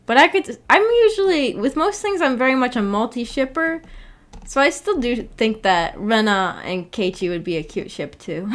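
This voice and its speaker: A woman with a somewhat high voice chats casually as a livestream host. She rambles informally through her thoughts and has a giggly manner. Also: sing-song, moderate pace, fairly bright tone. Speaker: streamer hosting a book club discussion.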